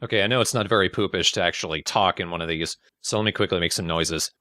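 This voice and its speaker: A man's voice: low voice, quick pace, sharp tone. A man talking casually and informally about himself as a video creator, in a reflective, slightly self-deprecating way. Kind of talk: casual personal reflective talk.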